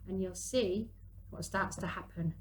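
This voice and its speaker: A woman's voice: lowish voice, a little slow, low-quality recording. A woman in her thirties chatting instructively through a hands-on activity for parents and young children, working as she talks. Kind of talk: casual craft demonstration for parents and young children.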